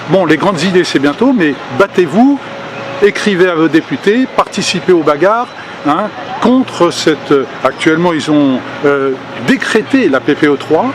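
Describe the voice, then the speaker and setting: An older man delivers an unscripted activist speech outdoors, voice pitched a little high for a man. He pauses often, restarting sentences and filling gaps with hesitations, but stays earnest throughout. Passing traffic and street noise sit clearly behind him. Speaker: activist speaking at a public meeting. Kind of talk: spontaneous political speech.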